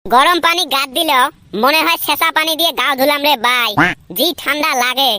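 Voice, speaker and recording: A man voicing a cheeky, grumbling village lad in a comedy cartoon, teasing in a northern Bengali Rangpur accent through a pitched-up filter. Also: a little fast, sharp tone, no room sound, full character voice. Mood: complaining. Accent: northern Bengali (Rangpur region). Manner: teasing, colloquial banter.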